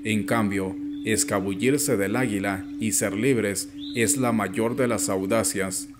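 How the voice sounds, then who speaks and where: A man reading a scripted audiobook text in a measured way, as a narrator. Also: on the low side, fairly even intonation, music in the background.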